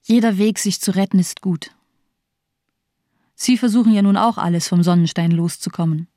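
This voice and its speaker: A woman's voice: medium pitch, moderate pace, warm tone, no echo, clean studio sound, lightly acted. An actress playing an embittered, disillusioned woman survivor in a radio drama, speaking coldly with bitter sarcasm. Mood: cold, indifferent. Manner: cold, lifeless, sardonic delivery.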